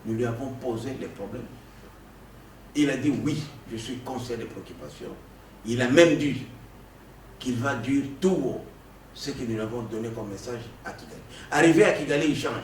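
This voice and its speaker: A man from the Congo giving an animated political interview, emphatic and prone to stammering restarts. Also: medium pitch, moderate pace. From Democratic Republic of the Congo. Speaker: political guest. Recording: echoey room, distant mic, faint noise.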